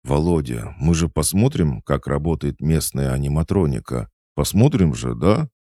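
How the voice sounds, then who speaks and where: A man voicing an excitable, eager geek full of boyish delight in a storybook narration. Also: extremely low pitch, moderate pace, no echo, clean studio sound, pitched above the performer's own voice. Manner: gushing, eager.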